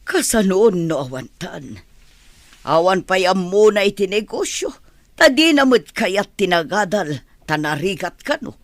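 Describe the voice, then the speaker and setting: A man playing a fretful middle-aged mother, protective and animated, in a radio comedy drama. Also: high voice, unhurried, no room sound, studio quality, full character voice. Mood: exasperated.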